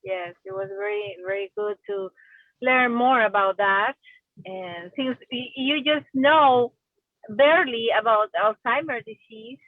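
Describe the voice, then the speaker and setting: High-voiced Peruvian woman on a tinny line. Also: measured pace, no room sound. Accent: Peruvian Spanish. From Peru. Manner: hesitant, laughs between phrases. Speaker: caller, first-time player. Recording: tinny phone-like call line.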